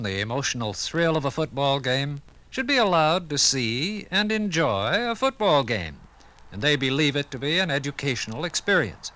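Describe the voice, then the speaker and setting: Animated, bright-voiced formal educator. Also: male voice, medium pitch. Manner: oratorical, formal. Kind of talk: 1950s radio dramatization.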